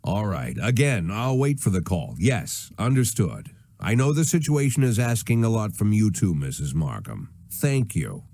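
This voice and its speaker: An actor playing a middle-aged, world-weary police chief in a noir video game, speaking in a deliberate, wry way. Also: male voice, lowish voice, wildly swooping pitch, warm tone, no echo, studio quality. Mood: strained. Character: weary, brooding police chief facing forced retirement.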